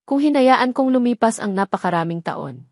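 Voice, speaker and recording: A woman voicing a sincere, regretful man in love in a romantic audio drama, earnest and soft-spoken as he vows devotion. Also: on the high side, very animated, moderate pace, no room sound, studio quality.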